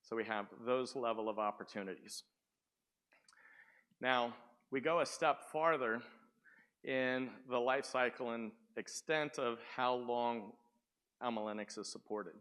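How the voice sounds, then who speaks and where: A man giving a sales presentation at a tech conference, booming and often asking the audience questions. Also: measured pace, a bit bright, clear, resonant tone. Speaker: conference speaker. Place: conference room. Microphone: speaking without the microphone at first.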